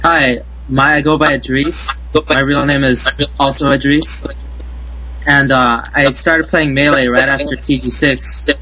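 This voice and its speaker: A man's voice: medium pitch, flat delivery, measured pace, pushed and bright, low-quality recording. A man from Southern California, a podcast guest, introducing himself hesitantly with many fillers.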